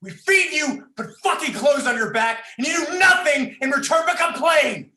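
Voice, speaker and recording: A man's voice: extremely high pitch, expressive, normal speed, bright, forceful tone, exaggerated voice. A man playing a volatile, foul-mouthed young man who bullies his younger brother in a dramatized script reading, taunting and menacing. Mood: aggressive mocking. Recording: roomy livestream sound.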